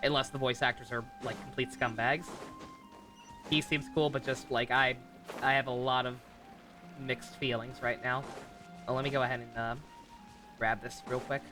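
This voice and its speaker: A man giving casual live stream commentary on a game, rambling, halting and often correcting himself. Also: mid-range voice, flat delivery. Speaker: game livestreamer. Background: game music.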